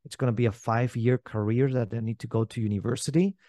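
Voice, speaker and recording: A man's voice: mid-range voice, fairly even intonation, fast talker, warm tone, no echo. Man, dry close sound. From Spain. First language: not English. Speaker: podcast guest, entrepreneur. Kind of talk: casual interview storytelling.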